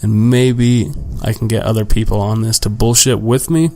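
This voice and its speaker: A young man, slightly raspy from a long day of talking, hosting a solo podcast at home and rambling casually and profanely about his week, with plenty of self-deprecation. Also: fairly low pitch, little pitch movement, normal speed, mellow. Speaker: podcast host. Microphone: home desk microphone without pop filter.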